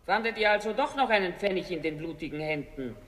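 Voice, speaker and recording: A woman's voice: on the low side, lively intonation, quick pace, lightly acted. Woman doing angry fishwife judging the dead, radio play, declamatory, big reverb. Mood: indignant, accusing. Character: indignant former fishwife, juror of the underworld. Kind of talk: theatrical German radio drama. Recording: long reverberant hall.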